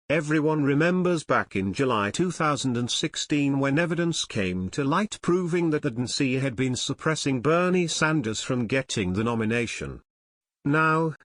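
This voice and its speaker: A man's voice: medium pitch, dry recording, clean studio sound. A man reading scripted political commentary as a news narrator, with a dramatic, insinuating delivery.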